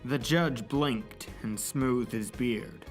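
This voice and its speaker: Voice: medium pitch, slow, soft background music. A narrator reading a scripted story in a dramatic style.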